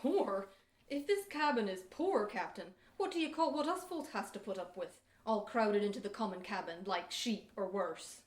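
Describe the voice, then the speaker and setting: A woman's voice: medium pitch, animated, moderate pace, close to an everyday voice. A woman acting an aggrieved but dutiful male Pilgrim passenger in a historical audio play, with earnest, rustic archaic speech. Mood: aggrieved, alarmed. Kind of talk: amateur audio theater historical drama acting. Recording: echoey room.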